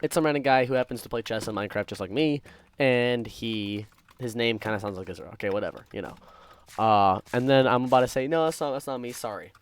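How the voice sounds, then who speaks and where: A man's voice: mid-range voice, quick pace, clear, resonant tone. Rambling young man telling casual stories. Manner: rambling, self-interrupting, distracted. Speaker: gaming content creator. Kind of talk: casual storytelling while playing a game. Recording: faint keyboard typing and clicking noise. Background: computer keyboard typing and clicks.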